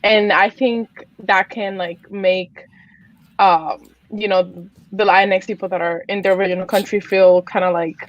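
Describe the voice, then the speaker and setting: A woman's voice: medium pitch, fairly even intonation, unhurried. Hesitant woman, slightly muffled audio. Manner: hesitant, many fillers. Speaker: panelist. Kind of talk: casual panel chat.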